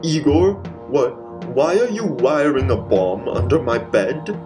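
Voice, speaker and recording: Man acting an excitable, animated mad scientist. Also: mid-range voice, measured pace, music in the background. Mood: urgent, excited. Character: male mad scientist Doctor Frankengrain, excitable, bossy procrastinator. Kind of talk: old-time radio comedy mystery acting.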